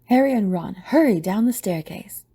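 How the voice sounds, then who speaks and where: A woman narrator tells a scripted audio play. Her voice is mellow and warm, with a very animated rise and fall. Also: medium pitch, moderate pace, slightly rough.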